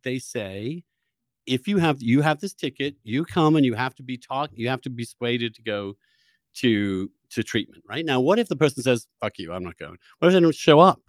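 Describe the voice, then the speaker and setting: A man with a medium-pitched voice, recorded dry and close, hosts a debate-style interview. He challenges the other side and presses his questions. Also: lively intonation, normal speed, no echo. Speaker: interviewer, podcast host.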